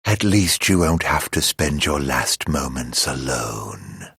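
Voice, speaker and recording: An actor playing a mocking, theatrical immortal villain in a video game, drawling mock-polite taunts in a husky, gravelly voice. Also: male voice, a bass voice, sing-song, slow, a bit bright, no room sound, studio quality, heavily acted. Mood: sinister, gloating.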